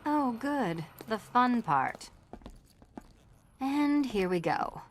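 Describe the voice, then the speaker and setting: A woman's voice: a little high, big pitch swings, drawn-out words, slight background noise, exaggerated voice. An actress playing a wry female thief in a video game, dryly sarcastic and understated in the face of danger. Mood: wry, uneasy. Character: wry, sardonic adult female thief in peril.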